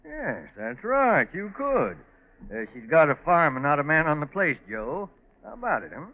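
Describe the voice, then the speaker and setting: Muffled vintage radio, folksy middle-aged male farmer, lively swinging intonation, genial, hesitant. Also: a little high, moderate pace. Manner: folksy, hemming and chuckling. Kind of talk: 1940s radio drama acting. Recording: muffled old 1940s radio recording.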